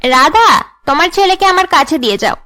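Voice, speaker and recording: A woman voicing haughty, scheming young women in a cartoon drama, with an imperious, sharp-tongued way of talking. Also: high-pitched, animated, brisk. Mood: angry, threatening. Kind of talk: Bengali cartoon drama dubbing.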